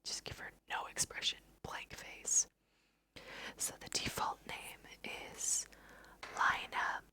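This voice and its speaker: A woman whispering chatty ASMR game commentary, thinking aloud in a breathy, self-amused way into a close microphone. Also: slow, pushed and bright. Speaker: host of a gaming let's play.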